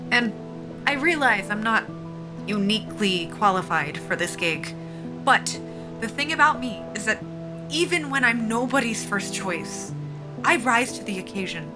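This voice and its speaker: A woman's voice: a little high, lightly acted. A woman playing a desperate female military lieutenant in an audio drama, speaking earnestly and informally as she pleads her case. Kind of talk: cinematic audio drama acting.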